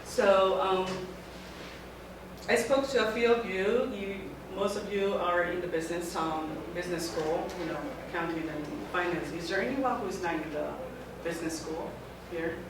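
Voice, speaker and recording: A middle-aged woman from Taiwan giving an informal talk to a student group, speaking in halting phrases that often restart. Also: on the low side. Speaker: guest speaker. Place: lecture room. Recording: very echoey room, mic far off, background noise.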